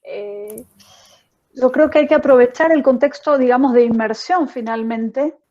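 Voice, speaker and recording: A woman teacher answering a question on an online panel, thoughtful and pausing often with fillers. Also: on the high side, darkish tone, muffled recording. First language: not French. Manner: thoughtful, many hesitations and fillers.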